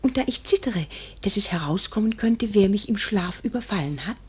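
Very breathy, coquettish young countess. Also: female voice, mid-range voice, lively intonation, brisk, a little mellow. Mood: mock solemn. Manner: breathy, whispering, cooing endearments. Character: coquettish young countess, tender, scheming secret lover. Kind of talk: 1940s German radio play acting.

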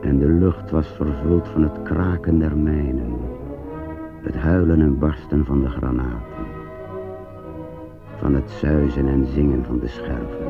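A man narrating a war drama for radio in a grave, measured, declamatory manner. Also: rumbling low, phone-quality sound, full character voice. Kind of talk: solemn scripted radio play narration. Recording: phone-thin old radio recording. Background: music.

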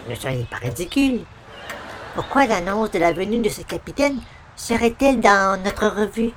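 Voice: medium pitch, lively intonation, normal speed, fairly bright tone, lightly acted. A performer playing an overly courteous, naive visitor in a radio comedy, speaking in a formal, flowery, pompous way. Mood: courteous, puzzled. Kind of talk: 1940s-style radio comedy acting.

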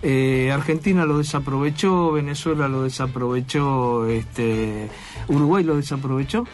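A man's voice: medium pitch, moderate pace, a little mellow. A man hosting a radio show, asking questions with many hesitations and fillers. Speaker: radio host. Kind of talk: radio interview.

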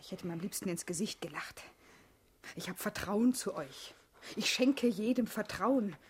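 An actress playing a playful, mocking young wife in a German radio play, coquettish, ironic and teasing. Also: female voice, mid-range voice, lively intonation, normal speed, a little mellow, a little hiss or hum, close to an everyday voice. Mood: amused, teasing.

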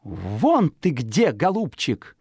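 A woman voicing a sly, predatory female fox in a storybook, coaxing in a drawn-out, cunning way. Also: low-pitched, wildly swooping pitch, warm tone, breathy edge, no room sound, clean studio sound, full character voice. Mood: gloating.

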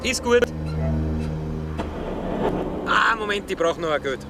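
Earnest accomplice, higher voice, noisy outdoors. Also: male voice, animated, slow, vocal fry, heavily acted. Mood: dutiful. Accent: Austrian. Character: compliant, practical-minded male accomplice of a hijacker. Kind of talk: radio drama acting, conversational. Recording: noisy outdoor car-park ambience.

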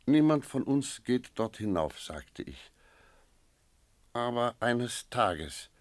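An actor playing a sober village innkeeper who tells an eerie tale in a measured, reflective radio drama style. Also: male voice, mid-range voice, very animated, no echo. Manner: measured, reflective, literary storytelling. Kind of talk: radio drama narration and dialogue.

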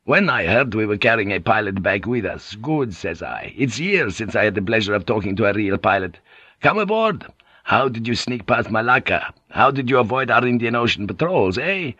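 Man putting on a brash jovial sea pilot, raised pitch, loud and profane, dry close sound, dull cassette tape. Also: very animated, moderate pace, breathy edge, no room sound, exaggerated voice. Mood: boisterous. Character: boisterous, coarse, swaggering male sea pilot.

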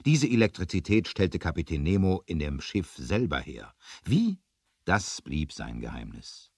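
An actor narrating a sea adventure radio play in a measured, deliberate, dramatic storytelling manner. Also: male voice, deep voice, dry recording. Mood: explanatory. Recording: dry 1970s vinyl record transfer.

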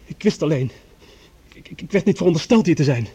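A frightened young man in a radio play, with a fairly high, soft-edged voice that is slightly husky. He is agitated and defensive, stammering and pleading his innocence. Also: lively intonation, a little fast. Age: young adult. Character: panicked, defensive young former lab assistant accused of murder. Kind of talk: radio drama acting.

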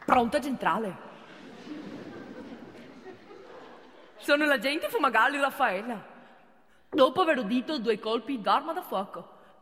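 A woman's voice: high-pitched, a bit bright, long reverb tail. An actress playing a fussy, officious, pious female police officer in a comic theatre monologue. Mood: officious, urgent. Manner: officious, formal wording, fussy.

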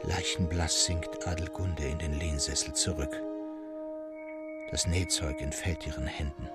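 A man's voice: rumbling low, fairly even intonation, unhurried, over loud music. A man narrating a gothic literary tale in a solemn, suspenseful and theatrical manner.

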